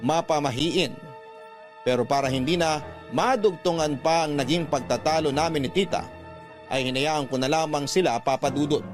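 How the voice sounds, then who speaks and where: Guy playing letter sender telling his story radio drama style, measured, bit high pitched, music behind. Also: close to an everyday voice. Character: male letter sender recounting his confession, skeptical nephew. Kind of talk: scripted dramatic narration of a letter.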